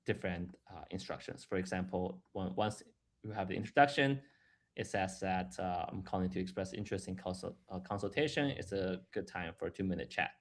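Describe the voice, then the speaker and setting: A man giving a live, explanatory software demo in a halting way, repeating words and restarting as he goes. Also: low voice. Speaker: software product presenter.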